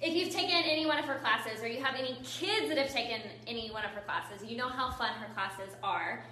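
A woman hosts an interview, cheerful and quick, scattering "like" through her questions. Her voice is bright and a little high. She is away from the mic, in a strongly echoing room. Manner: upbeat, fast, says "like" a lot. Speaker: host, yoga teacher. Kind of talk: podcast interview hosting. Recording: very echoey room, far from mic.

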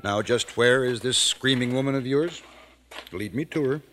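A middle-aged man playing a genial, gently teasing father in an old radio drama, speaking in a paternal, unhurried way. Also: mid-range voice, slight background noise, natural voice. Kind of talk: 1940s radio drama acting.

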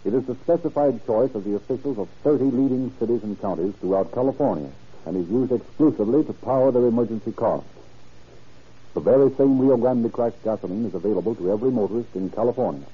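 A man narrating and announcing in a formal, deliberate, declamatory 1930s radio style. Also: medium pitch, slightly rough. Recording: dull old 1930s radio recording.

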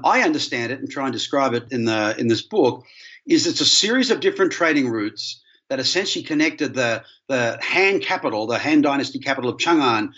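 A middle-aged Australian man, an academic guest explaining things in a measured, articulate, conversational way. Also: medium pitch, a bit bright, dull audio. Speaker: interview guest, history professor.

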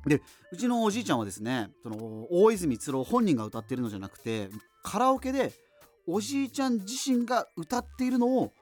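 Solo amateur radio host in a doomed future, radio drama. Higher-pitched, animated, digressive and casual, music underneath. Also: male voice. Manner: rambling, self-interrupting, digressive, casual asides. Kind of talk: conversational radio monologue in a scripted radio drama.

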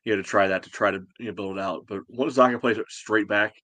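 A man co-hosting a soccer fan podcast, talking casually and haltingly with many a "you know". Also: on the low side, fairly even intonation, pushed and bright, dry recording. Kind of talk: casual sports recap discussion.

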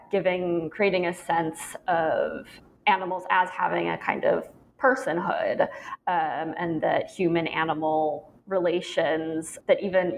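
Woman interviewer, gravelly voice, measured questions, faint background hiss. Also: low voice. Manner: measured, reflective questioning with fillers. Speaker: podcast interviewer. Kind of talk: academic interview.

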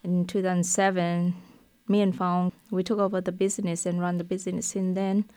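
A woman from Vietnam, a restaurant owner, speaking English with a Vietnamese accent in a careful, halting way on a radio interview. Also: lowish voice, level tone, darkish tone, no echo. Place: radio studio.

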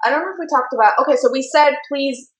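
Here is a chatty woman hosting a live web talk show. Her voice sits a little high, she giggles easily and wanders between thoughts, and the room around her is echoey. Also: fast talker, a bit bright. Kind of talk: casual live-stream chat.

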